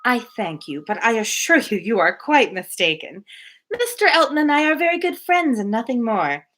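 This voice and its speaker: Storybook young lady, acted by a woman: polite, self-assured, poised, bright timbre, very expressive intonation. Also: a little high, moderate pace. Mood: astonished, dismissive. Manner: formal, poised, confident.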